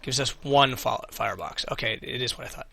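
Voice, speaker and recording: A man with a bright, forceful voice, losing his voice and talking over a video game. He hosts a let's play, muttering his plans aloud and swearing casually when things go wrong. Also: mid-range voice, brisk, creaky, slightly rough. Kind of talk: casual gameplay commentary.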